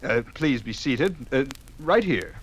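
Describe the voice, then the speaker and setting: An actor playing an eccentric, fussy inventor professor in a 1950s radio comedy sketch, pompous and full of technical jargon. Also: male voice, medium pitch, big pitch swings, takes it slowly, slightly rough, heavily acted. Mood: reassuring, fussy.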